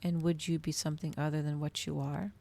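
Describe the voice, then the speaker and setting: A woman's voice: low voice, little pitch movement, fairly quick, vocal fry, dark tone. A woman voicing a domineering, cruel male vampire in a storybook reading, taunting and theatrical. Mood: mocking.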